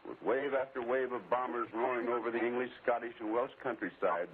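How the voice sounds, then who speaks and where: A man's voice: medium pitch, a little fast, slight background noise, lightly acted. A man as a 1940s wartime radio newsman, reporting in a dramatic, formal way. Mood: grave. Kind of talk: 1940s radio war news. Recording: tinny, phone-like old radio broadcast.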